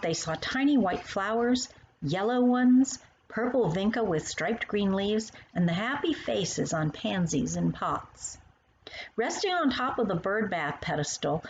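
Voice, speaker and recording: A woman's voice: medium pitch, big pitch swings, unhurried. A woman reading her own storybook aloud for young children, addressing the little listeners directly. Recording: dull lo-fi sound with faint noise.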